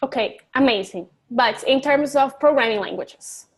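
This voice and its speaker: A woman's voice: high-pitched, normal speed, husky. A woman teaching an online English class, chatty and encouraging, laughing easily and joking about herself.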